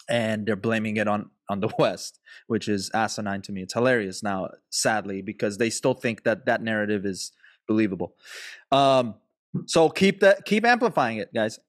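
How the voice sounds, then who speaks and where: A man hosting a casual podcast interview, talking in an animated way with lots of restarts and the odd swear word. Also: medium pitch, no room sound, clean studio sound. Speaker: podcast host.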